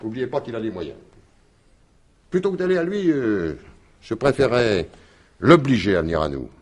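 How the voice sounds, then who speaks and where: An actor playing a wily, courteous and evasive police commissioner in a French radio mystery in 1950s style. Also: male voice, medium pitch, very animated, moderate pace. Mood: calculating. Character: wily, placating male police commissioner, coolly scheming. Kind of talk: French radio drama acting in 1950s manner.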